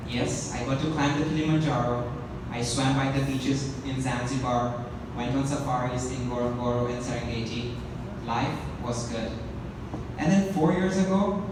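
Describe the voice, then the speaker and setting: A man's voice: mid-range voice, moderate pace. A man in his twenties, a native Urdu speaker from Pakistan, giving a public talk full of rhetorical questions. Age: 20s. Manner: rhetorical questions, lively delivery. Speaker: speaker, app founder. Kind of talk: public speech. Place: large echoey hall. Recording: distant echoey hall with noise.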